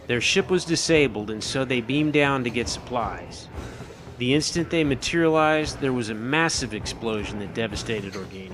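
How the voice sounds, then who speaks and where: A man's voice: mid-range voice, natural voice. A man playing a seasoned, modest starship captain recounting his missions in a sci-fi audio drama. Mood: grave storytelling. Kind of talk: audio drama acting, storytelling. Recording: music bed under the voice.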